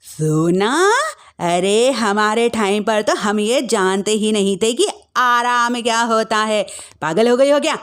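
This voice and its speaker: A woman's voice: a little high, takes it slowly, clear, resonant tone. A woman voicing a scornful older mother-in-law in a dramatic, sarcastic, scolding manner for a family story.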